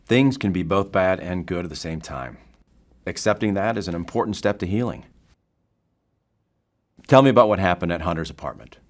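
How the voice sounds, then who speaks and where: Deep-voiced, measured therapist. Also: male voice, lively intonation. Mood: probing. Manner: clinical, measured. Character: measured, probing male psychotherapist. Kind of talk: audio drama acting.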